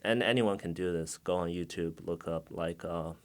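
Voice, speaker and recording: A young man from China, guest on a podcast interview, answering in a relaxed, casual way with lots of fillers. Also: deep voice, moderate pace. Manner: relaxed, many fillers, laughs often.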